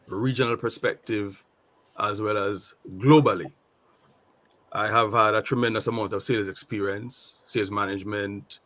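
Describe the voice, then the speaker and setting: Jamaican man, panelist, low voice, deliberate and measured. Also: fairly bright tone. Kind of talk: online panel introduction.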